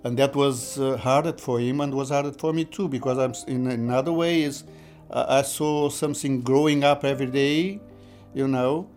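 Guy in an interview, halting, hunting for words, guitar in the back. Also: medium pitch, darkish tone.

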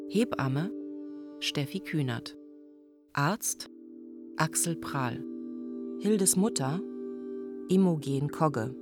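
Very slow, low-voiced woman announcer. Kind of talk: scripted credits announcement. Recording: music behind the voice.

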